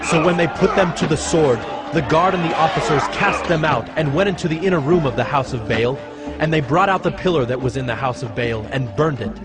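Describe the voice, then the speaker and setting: A man reads scripture as scripted narration in a measured, solemn storytelling manner. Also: fairly high pitch. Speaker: narrator of a dramatized audio Bible. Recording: music bed behind the voice. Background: background music.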